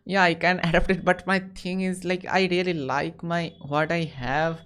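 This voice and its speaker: A man's voice: on the high side, level tone, normal speed, a bit bright, clear, resonant tone. Young man, Indian accent, hesitant, repeats himself, casual online chat. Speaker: host.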